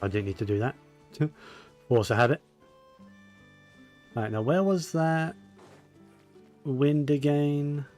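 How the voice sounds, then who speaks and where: A man giving casual gameplay commentary, musing to himself in a low-key way. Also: slow pace, pauses often, darkish tone. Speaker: gaming streamer. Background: faint game music.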